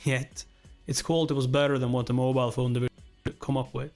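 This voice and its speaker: A man voicing a probing, pensive corporate schemer in a webtoon read-along, musing in measured phrases. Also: medium pitch, flat delivery, a little mellow, soft background music, close to an everyday voice. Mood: musing, suspicious.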